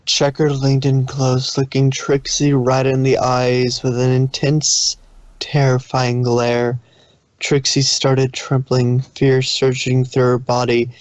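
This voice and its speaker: A man's voice: mid-range voice, completely flat delivery, slow pace, no room sound. A man reading scripted fan fiction as the narrator of a dramatic story.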